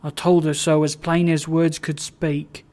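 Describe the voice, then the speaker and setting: A reader voicing a drowsy, dissipated man who speaks in a slow, brooding way. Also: medium pitch, level tone, close to an everyday voice. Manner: slow, brooding, louder than narration.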